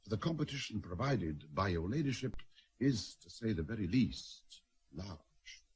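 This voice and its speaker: An actor in a radio drama playing a suave, drily witty aristocratic diplomat, formal and flattering. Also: male voice, fairly low pitch, a little slow, exaggerated voice. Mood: wry. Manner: smooth-tongued, formal, flattering.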